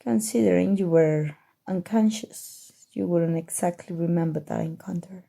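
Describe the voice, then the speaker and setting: A smug evil sorcerer, acted by a woman for an ASMR roleplay. The voice is very dark and relaxed, husky, with a gravelly fry at the edges. It speaks softly and deliberately, teasing with cool menace and slipping toward a whisper. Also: lowish voice. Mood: sly, measured. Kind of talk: ASMR roleplay, scripted character monologue.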